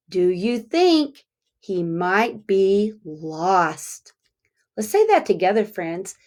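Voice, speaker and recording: A woman teacher reading a picture book aloud to young children, speaking deliberately and pausing to ask them questions. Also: medium pitch.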